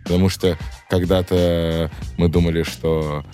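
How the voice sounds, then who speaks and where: A man's voice: sits low, level tone, unhurried, warm tone, soft background music. A man of about thirty talking casually and slangily as an interviewed rapper, reflective and searching for words.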